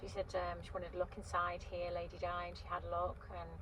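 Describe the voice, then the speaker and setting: A woman's voice: fairly low pitch, completely flat delivery, fairly quick, a bit bright, rough recording. A woman in her fifties, originally from Wales, chatting casually to camera from inside her parked van, rambling with lots of ums and restarts. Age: 50s. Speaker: vlogger. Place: inside a parked van by a river on a windy day. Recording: wind noise in a parked van. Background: gusting wind outside.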